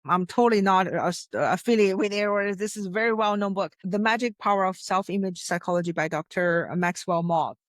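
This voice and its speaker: A woman's voice: medium pitch, brisk, few pauses, no echo, clean studio sound. A woman from China, a success coach, telling her story with earnest emphasis and frequent restarts. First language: Chinese. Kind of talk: interview conversation.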